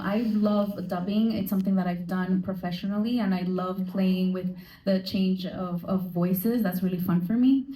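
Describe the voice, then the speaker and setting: A woman's voice: medium pitch, dark tone. Hesitant woman interpreting on stage. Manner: hesitant, filler-heavy. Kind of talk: live interpreting on a panel. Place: theater stage at a premiere. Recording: distant echoey theater mic, faint hum.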